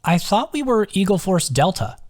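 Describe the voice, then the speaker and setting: A man's voice: sits high, very animated, moderate pace, darkish tone, no room sound, studio quality, voice pushed up. A man voicing a thoughtful, frank young woman adventurer with a wry, questioning manner in an audiobook. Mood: wry, teasing.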